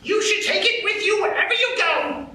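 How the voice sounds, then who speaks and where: A woman's voice: very high voice, animated, normal speed, pushed and bright, slight background noise, heavily acted. A woman voicing a cheerfully muddled character who keeps pressing pepper on people. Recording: roomy live stage, distant mics.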